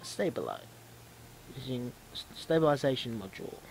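A man's voice: medium pitch, very animated, normal speed, creaky, over music, poor audio. A man with a stuffy, snuffly voice from a cold gives casual commentary on his own video game match. He often rambles and stops to correct himself. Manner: rambling, self-correcting, restarts words.

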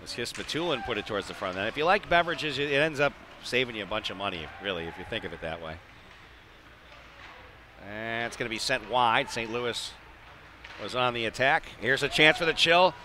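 A man's voice: mid-range voice, lively intonation, fairly quick, background noise. A man doing live play-by-play hockey commentary in clipped phrases with chatty asides. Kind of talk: live radio-style sports commentary. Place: indoor ice arena.

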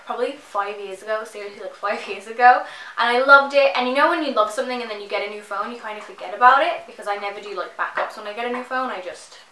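A woman's voice: fairly high pitch, bright, forceful tone, roomy sound, slight background noise, low-quality recording. A young woman in her twenties chatting to camera in her bedroom, rambling enthusiastically and saying "like" a lot. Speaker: fitness vlogger. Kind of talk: casual chatty sponsored vlog. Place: bedroom, indoors.

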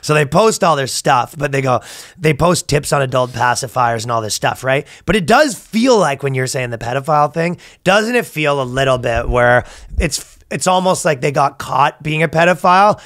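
A man's voice: on the high side, animated, moderate pace, fairly bright tone, no room sound, studio quality. A man in his thirties hosting a comedy podcast, riffing casually and profanely and acting out imagined dialogue. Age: 30s. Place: small podcast studio. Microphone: close studio mic on boom arm.

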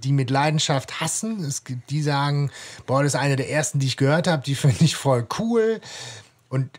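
A man with a medium-pitched voice talks casually as a podcast co-host. He thinks aloud in a hesitant, searching way. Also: moderate pace. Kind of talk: casual discussion.

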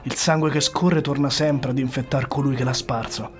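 An actor playing a tormented, ambitious noble warrior in an Italian radio drama, solemn and theatrical. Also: male voice, medium pitch, fairly even intonation, moderate pace, faint music behind, lightly acted. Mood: brooding, conflicted.

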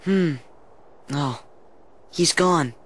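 A man's voice: fairly high pitch, drawn-out words, a bit bright, slight background noise. An actor playing a plucky, impulsive teenage boy hero with an American accent in a video game cutscene. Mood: puzzled. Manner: eager, boyish.

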